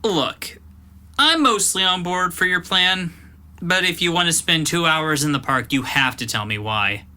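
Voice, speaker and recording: A man's voice: fairly high pitch, lively intonation, measured pace, pushed and bright, exaggerated voice. A man playing a surly, mocking, foul-mouthed teenage cousin in an audio drama, blunt and sneering. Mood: wary, mocking.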